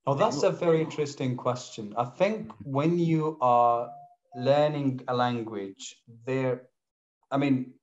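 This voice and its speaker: A man in his thirties, raised in Syria with Kurdish as his first language, talks through his story as an interview guest. He pauses often and restarts his phrases, with a middle pitch for a man. His voice comes through a dull, lo-fi video call connection. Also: a little mellow. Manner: hesitant, many fillers and restarts. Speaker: English teacher and guest. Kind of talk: casual interview answers. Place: home room, indoors.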